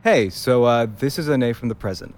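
An actor playing a sardonic, grudgingly caring big brother in a naturalistic audio drama, talking in a casual, dry way. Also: male voice, medium pitch, animated, normal speed, a little mellow, a little hiss or hum, lightly acted. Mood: hesitant, subdued.

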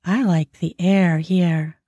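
A man's voice: high voice, fairly even intonation, slow, mellow, dry recording, highs cut off, voice pushed up. A man voicing a bawdy, sly frontier barkeep woman with a Minnesota accent and a teasing, drawling delivery. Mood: wistful. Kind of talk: audiobook character voice.

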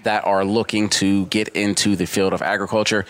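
Thirties male co-host, low register, relaxed rambling chat. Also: moderate pace, a little hiss or hum. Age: 30s. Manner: easygoing, rambling, trails off mid-thought. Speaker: podcast co-host. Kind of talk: casual podcast conversation.

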